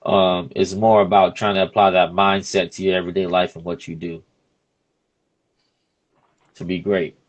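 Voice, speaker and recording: Male, early 30s, deep voice. Podcast host in relaxed interview conversation, informal phrasing with frequent conversational check-ins. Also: moderate pace, pauses often. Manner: informal, peppered with "you know what I'm saying". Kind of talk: casual conversational interview talk.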